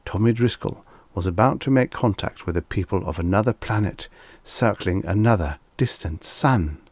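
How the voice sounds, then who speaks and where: Low-voiced man narrating a story. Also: a little mellow, no echo. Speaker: audiobook narrator.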